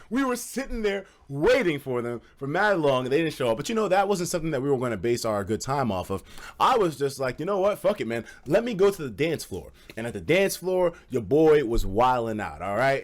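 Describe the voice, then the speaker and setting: Animated high-pitched young American man storytelling. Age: young adult. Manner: animated, slangy and profane, acts out quoted dialogue. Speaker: streamer. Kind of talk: casual storytelling to a live chat.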